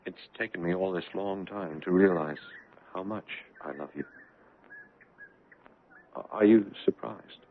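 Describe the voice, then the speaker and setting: An actor playing an earnest, troubled young doctor and devoted son in a 1970s radio drama. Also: male voice, low voice, slight background noise. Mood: tender, nervous. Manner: earnest, agitated, halting in dialogue. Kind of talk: 1970s radio drama acting with first-person narration. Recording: muffled vintage radio broadcast, narrow band.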